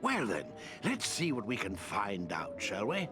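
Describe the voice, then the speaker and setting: A man's voice: fairly low pitch, wildly swooping pitch, measured pace, over music. A man playing a kindly, whimsical old wizard in a video game, with a chirpy, meandering way of talking. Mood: delighted, grateful. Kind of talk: video game cutscene acting.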